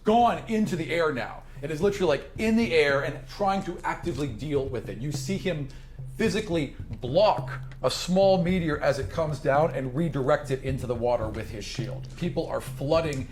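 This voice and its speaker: A man's voice: fairly high pitch, normal speed, darkish tone. A man working as game master, improvising narration for a tabletop fantasy game.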